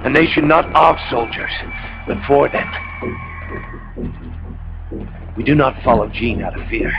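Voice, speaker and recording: A man's voice: medium pitch, normal speed, creaky, lightly acted. An actor playing a bitter, defiant male Soviet soldier, speaking in impassioned speeches in a video game cutscene. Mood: defiant, fervent. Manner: impassioned, declamatory. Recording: dull lo-fi game capture. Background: loud music.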